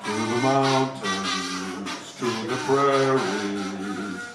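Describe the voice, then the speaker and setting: Man playing a slow, echoey old-time radio announcer. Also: on the low side. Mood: grandiose. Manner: theatrical, drawn-out delivery. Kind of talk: 1940s radio announcing parody. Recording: echoey distant old-radio sound, music behind.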